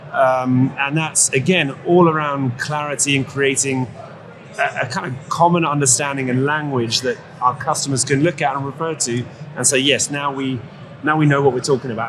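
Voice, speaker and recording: A measured, articulate man explains his company's work at mid pitch. Clear show-floor noise runs behind him. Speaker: company CEO, guest. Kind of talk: explanatory interview answers. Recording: live event with clear background noise. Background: show-floor ambience.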